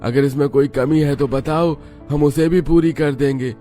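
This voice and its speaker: A man's voice: a little high, fairly even intonation, moderate pace, dark tone, faint music behind, voice pushed up, close to an everyday voice. A man voicing a caring older father-in-law in a cartoon story, speaking with concern and reassurance. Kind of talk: Hindi cartoon story dialogue.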